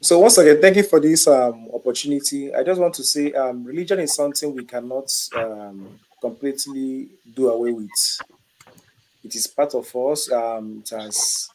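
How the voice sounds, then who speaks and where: A man speaking on a call, measured and hesitant, with many fillers. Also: medium pitch, darkish tone, rough recording. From Igbo region of Nigeria. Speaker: panelist.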